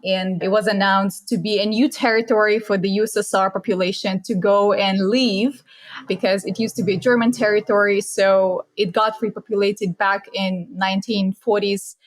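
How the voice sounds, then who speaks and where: A woman's voice: medium pitch, level tone, moderate pace. A young woman from Russia with a Russian accent, talking earnestly and reflectively as a podcast guest, with slightly non-native phrasing. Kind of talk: casual interview chat.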